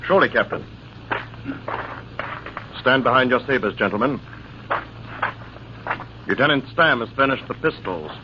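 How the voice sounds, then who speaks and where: A man playing a brisk, formal army major, commanding in the manner of old-time radio drama. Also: mid-range voice, lively intonation, lightly acted. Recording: dull, faintly noisy old radio transcription.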